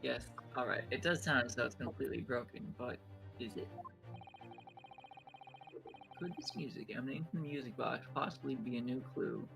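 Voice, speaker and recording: Man voicing an earnest, creaky young defense lawyer. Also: mid-range voice, fast talker, over music, lightly acted, rough recording.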